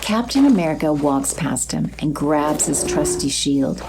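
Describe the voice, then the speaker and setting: Woman narrator for audio drama, kinda low voice, noisy ambience behind. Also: expressive, unhurried. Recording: clear background noise, sound effects.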